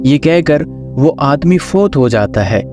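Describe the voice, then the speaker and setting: Male narrator, slightly high voice, scripted children's story, storytelling, music behind. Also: animated, slow pace. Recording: music under the voice.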